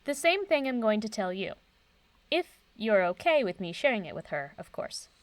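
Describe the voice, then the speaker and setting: Actress for eminent older female doctor, audio drama. Composed, kind, wry; lively intonation, dry close sound. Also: medium pitch, dry recording, lightly acted. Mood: wry, assured. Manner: composed, measured, formal.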